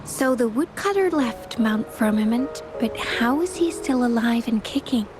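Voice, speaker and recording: A woman's voice: a little high, lively intonation, a little slow. A woman playing a questioning, skeptical listener to a tale in a video game. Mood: puzzled, skeptical. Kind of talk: game character dialogue. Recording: background music under the voice.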